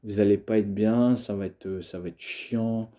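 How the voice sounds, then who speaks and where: A man's voice: on the low side, fairly even intonation, normal speed, dark tone. A young man with a cold, talking casually to camera in a hesitant way, full of fillers and restarts. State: has a cold, coughing. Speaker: video creator.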